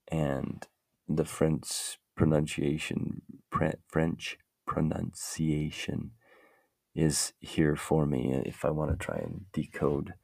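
A man's voice: extremely low pitch, creaky, darkish tone, dry recording. A man hosting a casual talk show, musing aloud in a meandering way as he browses the web. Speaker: podcast host. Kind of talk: casual rambling chat.

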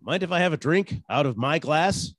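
A man's voice: fairly high pitch, lively intonation, normal speed, no room sound, clean studio sound. A man playing a brash, wisecracking hard-boiled private detective in 1940s radio style, theatrical and sardonic. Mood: dry, irritated.

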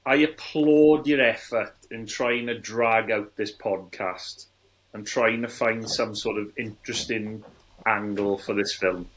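A man's voice: on the low side, a little slow, fairly bright tone, low-quality recording. A man in his forties co-hosting a casual film review podcast, speaking bluntly with an incredulous, complaining manner. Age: 40s. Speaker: podcast co-host.

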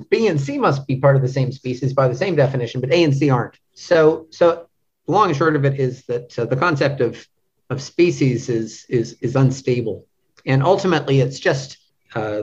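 Halting man in a dull lo-fi recording. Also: mid-range voice. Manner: halting, thinking aloud, repeats words. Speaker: podcast guest, literary translator. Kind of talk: casual interview chat. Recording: dull, lo-fi audio.

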